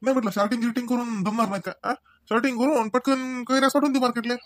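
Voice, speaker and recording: कार्टूनमधील चटपटीत, चिडवणाऱ्या तरुण गावाकडच्या शेतकरी नवऱ्याला आवाज देणारा पुरुष, वऱ्हाडी बोलीत. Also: male voice, very high voice, steady tone, very fast, fairly bright tone, no echo, clean studio sound. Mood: surprised, teasing. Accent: rural Maharashtra, Varhadi Marathi. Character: brisk, teasing young village farmer husband. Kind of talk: comedy cartoon dialogue.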